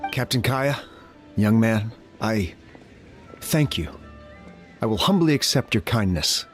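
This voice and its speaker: A man's voice: on the low side, expressive, slow pace. An actor playing a humble, self-blaming older male captain in a video game, speaking in an earnest, hesitant, polite manner. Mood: humble, grateful. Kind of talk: video game character dialogue. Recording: music under the voice.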